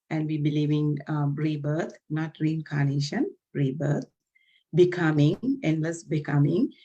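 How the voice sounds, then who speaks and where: A woman's voice: lowish voice, darkish tone. Earnest Sri Lankan woman on a video call. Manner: earnest, unhurried, with frequent ums. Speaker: chaplain. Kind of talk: webinar talk. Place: home room, indoors. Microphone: video call, laptop camera.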